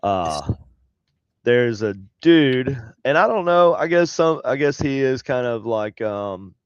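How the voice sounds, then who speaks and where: A man's voice: medium pitch, big pitch swings, slow pace, clean tone, no room sound, lo-fi sound. A man with a head cold and a nasal voice, co-hosting a paranormal talk show in casual chat, rambling with many fillers. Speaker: podcast co-host. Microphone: home desk setup on a video call.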